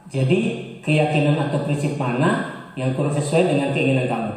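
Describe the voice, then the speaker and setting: A man plays a calm teacher who keeps asking gentle, guiding questions. His voice is mid-pitched. It bounces around a large, roomy hall. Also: moderate pace, close to an everyday voice. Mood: coaxing. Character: adult man playing a calm, patient male teacher. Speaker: role-play teacher. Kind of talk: scripted teacher training role-play.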